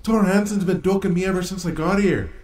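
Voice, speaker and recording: A man's voice: high voice, steady tone, brisk, echoey room, noisy background, close to an everyday voice. Man playing a cocky trash-talking wrestler. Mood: boastful. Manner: boastful trash talk. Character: cocky young wrestling champion, territorial.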